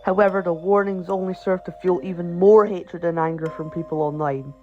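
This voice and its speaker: A man's voice: high-pitched, steady tone, brisk. A man reading a scripted horror story as the narrator of an online video. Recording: dull, lo-fi voice track. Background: background music.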